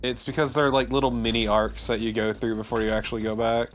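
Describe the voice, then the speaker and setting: A man's voice: medium pitch, flat delivery, quick pace, soft background music. A man chatting casually as a let's play co-commentator, conversational and prone to swearing. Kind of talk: casual chat over gameplay. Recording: clearly noisy home recording. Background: background noise.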